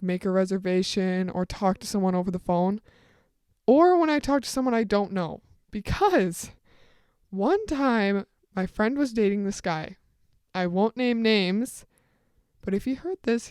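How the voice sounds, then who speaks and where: Chatty teenage girl with a smooth voice. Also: darkish tone, no echo, studio quality. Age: teens. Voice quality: fairly deep voice for a woman. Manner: rambling, chatty, full of asides. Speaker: podcast host. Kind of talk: casual solo storytelling.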